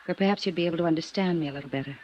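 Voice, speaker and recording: An actress playing a lonely, troubled wealthy young woman in a 1950s radio drama, wistful and evasive, sharp when pressed. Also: fairly low pitch, racing, a little hiss or hum, close to an everyday voice. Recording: muffled old radio broadcast.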